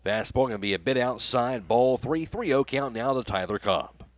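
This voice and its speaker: A man's voice: medium pitch, normal speed. A man working as a radio play-by-play announcer, calling baseball and reading sponsor spots. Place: live radio broadcast from a ballgame.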